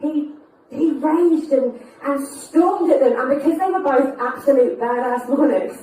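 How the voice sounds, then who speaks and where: A woman's voice: high-pitched, measured pace, mellow, slight background noise. An actress playing a young Tudor queen in a stage musical, speaking in casual, modern phrasing. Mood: tender, wistful. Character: young Tudor queen, chatty, by turns cheeky and tender. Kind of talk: musical theatre monologue and banter. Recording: very echoey theatre, distant, music behind.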